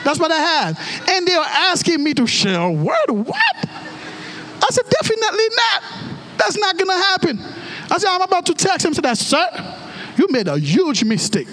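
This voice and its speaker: A man with a Haitian accent, a native Creole and French speaker, preaching a storytelling sermon in an animated way and acting out dialogue with exclamations. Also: very high-pitched, moderate pace, a little hiss or hum.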